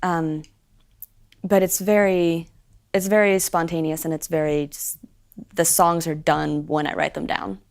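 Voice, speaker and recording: A woman's voice: low voice, level tone, a little slow. A young woman with an American accent, raised in Sweden, chatting as a guest musician in an interview, talking animatedly with many fillers and restarts. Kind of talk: casual interview chat.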